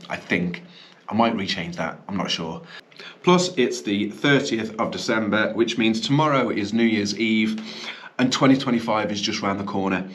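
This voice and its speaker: A man's voice: on the low side, fairly bright tone. Chatty man with a cough. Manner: chatty and upbeat, with ums and self-corrections. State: slight cough. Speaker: business owner and channel host. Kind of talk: casual vlog to camera. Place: small indoor studio room.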